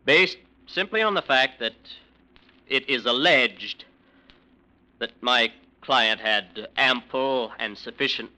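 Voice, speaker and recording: An actor playing a conflicted, frightened defense lawyer in an old radio crime drama, earnest and halting. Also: male voice, medium pitch, sharp tone, rough recording. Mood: formal, faltering. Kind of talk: 1940s-50s radio crime drama acting.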